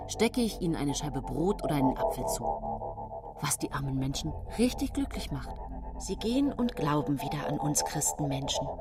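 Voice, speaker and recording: An actress playing a seemingly pious, gentle yet secretly cold 19th-century housewife, confiding her story in a measured radio drama monologue. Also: sits low, creaky. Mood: self-righteous calm. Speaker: actress narrating in a radio play. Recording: music bed behind studio voice.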